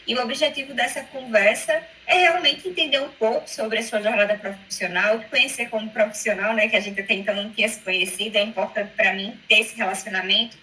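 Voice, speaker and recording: A woman's voice: fairly high pitch, fairly even intonation, fast talker, few pauses, sharp tone, a little hiss or hum, low-quality recording. A woman with an Alagoas accent from northeastern Brazil, a headhunter interviewing on a video call in a friendly, chatty way. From Maceió, Alagoas, Brazil. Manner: friendly, chatty, laughs often.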